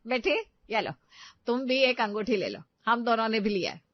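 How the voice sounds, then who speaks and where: A woman's voice: a little high, animated, moderate pace, no echo. Woman acting older mother-in-law, loving, bossy, firm, story drama, dull recording. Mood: firmly loving.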